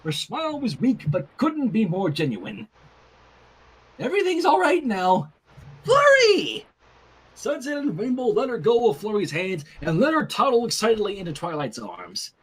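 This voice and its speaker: A storyteller narrating fan fiction in an animated style with a slightly husky voice. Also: medium pitch, normal speed, hardly any pauses.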